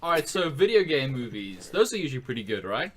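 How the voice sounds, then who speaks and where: A man's voice: mid-range voice, big pitch swings, fast talker, fairly bright tone. A man co-hosting a casual podcast, speaking in an articulate, analytical and sarcastic way. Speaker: podcast co-host.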